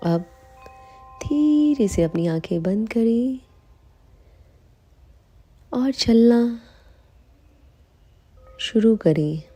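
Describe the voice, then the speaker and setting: A woman leading a guided meditation, calm and soothing, giving unhurried instructions with long pauses between phrases. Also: a little high, slightly rough. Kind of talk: slow guided meditation in Hindi.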